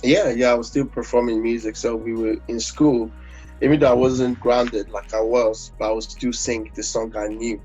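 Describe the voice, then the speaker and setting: A Nigerian man, a gospel singer, talking in a relaxed interview, rambling with many fillers and restarts. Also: medium pitch, moderate pace, breathy edge. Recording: dull lo-fi audio with faint music.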